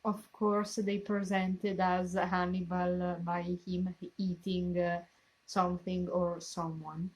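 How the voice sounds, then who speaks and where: A young woman in her twenties with an Italian accent, chatting casually as she reacts to a TV episode, often pausing to search for English words. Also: on the low side, rough recording. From Italy. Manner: searching for words, many fillers, animated hand gestures. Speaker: reaction channel host. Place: small bedroom, indoors.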